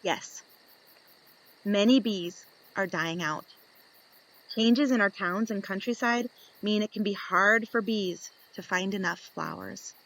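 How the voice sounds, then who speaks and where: Bright-voiced woman reading to children. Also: mid-range voice, slow, low-quality recording. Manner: expressive, instructive, asks and answers questions. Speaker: children's teacher reading a picture book aloud. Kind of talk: read-aloud story time for young children with questions to listeners.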